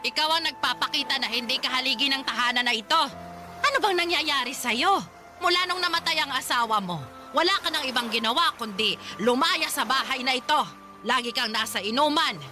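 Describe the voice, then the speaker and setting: A woman's voice: high voice, brisk, bright, forceful tone, faint music behind. A woman playing a stern, reproachful grandmother in a radio drama, firm and scolding. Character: stern, scolding grandmother holding a poor family together. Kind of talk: Filipino radio drama acting.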